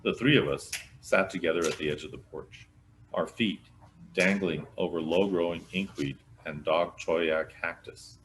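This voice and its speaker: A man's voice: sits low, moderate pace, a bit bright, a little hiss or hum, poor audio. A man reading a mystery novel aloud as a scripted narration, in the manner of a volunteer storyteller. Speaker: volunteer audiobook reader.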